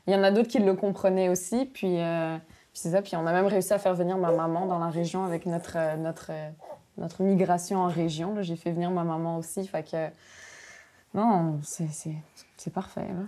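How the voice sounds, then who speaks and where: A woman in her thirties who grew up in France and is of background, interviewed in relaxed conversation, thinking aloud with frequent pauses. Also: lowish voice. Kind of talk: casual conversation.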